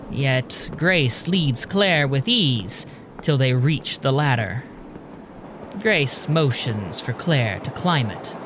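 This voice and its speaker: A man narrating a scripted audio drama in a measured, dramatic storytelling manner. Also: mid-range voice, noisy background.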